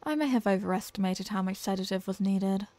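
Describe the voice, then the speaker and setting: A woman's voice: mid-range voice, brisk, mellow, no room sound, close to an everyday voice. A woman playing a condescending female alien scientist in a scripted ASMR roleplay, speaking in a clinical, formal, dismissive manner. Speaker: ASMR audio roleplay performer.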